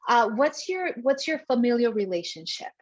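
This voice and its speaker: A woman's voice: fairly high pitch, sing-song, moderate pace. A woman hosting a podcast, speaking encouragingly and laughing often as she asks questions. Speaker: podcast host. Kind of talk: conversational interview. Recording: dull lo-fi sound.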